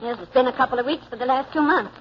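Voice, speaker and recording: A woman's voice: high voice, very fast, lightly acted. An actress playing an anxious, scolding middle-aged mother in a 1940s radio drama, fretful and sarcastic. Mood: exasperated. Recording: dull, noisy vintage radio recording.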